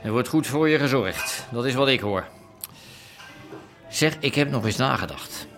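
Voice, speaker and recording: Menacing gangster, lively pitch swings. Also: male voice, unhurried, over music. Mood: coolly menacing. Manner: insinuating, casual menace. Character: cool, menacing male gangster, boxing-school owner. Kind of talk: naturalistic radio drama acting.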